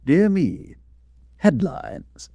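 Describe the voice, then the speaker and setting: An actor playing a sardonic, cultured husband who needles with mocking irony, in 1940s radio drama style. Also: male voice, a little high, big pitch swings, drawn-out words, dark tone, husky, dry recording, full character voice.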